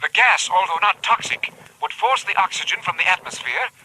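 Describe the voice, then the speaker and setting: High, sharp-voiced anxious radio doctor. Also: male voice, sing-song, a little fast, full character voice. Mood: grave, uneasy. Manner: formal, exclamatory, precise. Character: proper, anxious male physician. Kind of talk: old-time radio drama acting. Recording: noisy old radio recording.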